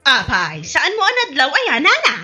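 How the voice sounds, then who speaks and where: A woman's voice: high-pitched, wildly swooping pitch, normal speed, sharp tone, faint music behind, exaggerated voice. A woman with an Ilocano Filipino accent playing a vain, bossy president of a village women's club in a radio comedy drama, haughty and imperious. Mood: vain, boastful.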